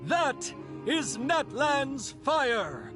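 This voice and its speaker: Game role: grand female orator, extremely slow, declamatory, music behind. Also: high-pitched, very animated, full character voice. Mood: exalted. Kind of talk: English video game voice acting.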